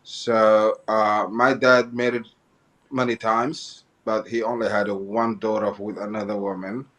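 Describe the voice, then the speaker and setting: A man from Somalia, a guest in an interview telling his life story, often halting and repeating phrases as he goes. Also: lowish voice, flat delivery, fairly bright tone.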